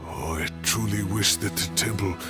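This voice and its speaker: A man's voice: on the low side, normal speed, close to an everyday voice. A man voicing an elderly, learned character in a video game, speaking with dramatic gravity. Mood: earnest, wistful. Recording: dramatic music behind the voice.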